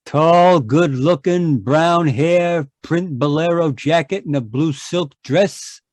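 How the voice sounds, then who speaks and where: An actor playing a slick, sarcastic, impatient stranger in a noir audio drama, clipped and mocking. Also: male voice, a little high, takes it slowly, a little mellow, dry recording. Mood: brusque, impatient.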